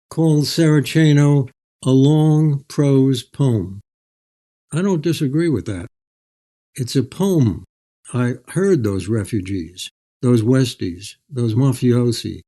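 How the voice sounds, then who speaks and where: An older man telling stories as an author in an interview, in a measured, anecdotal way. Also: medium pitch, mellow. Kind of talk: storytelling in an interview.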